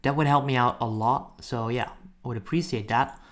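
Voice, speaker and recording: A man hosts a relaxed software walkthrough for online viewers. His voice sits at an average pitch, and he talks informally, as if chatting to the people watching. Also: normal speed. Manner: informal, addresses viewers as guys. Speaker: software reviewer and tutorial host. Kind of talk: casual screen-recording walkthrough.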